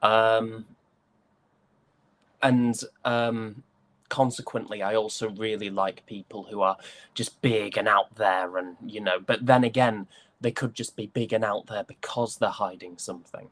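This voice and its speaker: A man from the UK with a low voice talks in a reflective interview style, as an actor discussing his craft. He is thoughtful and halting, often pausing to find the next phrase. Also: steady tone, fairly bright tone.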